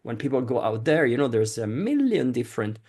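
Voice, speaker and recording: A man's voice: mid-range voice, sing-song, a little fast, a little mellow. A man hosting a podcast in casual conversation, talking hesitantly with frequent restarts and fillers. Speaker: podcast host.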